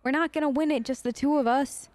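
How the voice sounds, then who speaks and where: A woman's voice: sits high, steady tone, fast talker, darkish tone, no echo. A woman improvising casual in-character replies as a let's play host, chatty, informal and a bit rambling. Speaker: let's play host role-playing her game character.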